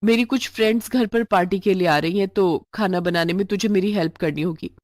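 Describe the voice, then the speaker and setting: A woman's voice: medium pitch, a little mellow, no room sound, studio quality, natural voice. Woman voicing a bossy well-off lady employer. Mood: brisk, bossy. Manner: brisk, commanding. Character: well-off office-going female employer, bossy and demanding. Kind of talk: moral storybook voice acting.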